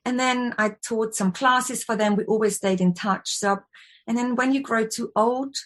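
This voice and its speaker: A woman's voice: fairly high pitch, steady tone, normal speed, fairly bright tone. Woman, 40s, chatty wellness expert being interviewed, conversational. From Austria. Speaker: guest, wellbeing expert and yoga teacher.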